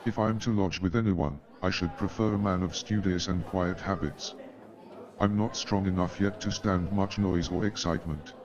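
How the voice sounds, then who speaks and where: An actor playing a weary, wry, sardonic army doctor in a staged radio play, speaking formally. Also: male voice, deep voice, steady tone, normal speed, highs cut off, a crowd in the background, close to an everyday voice. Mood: formal, inquisitive.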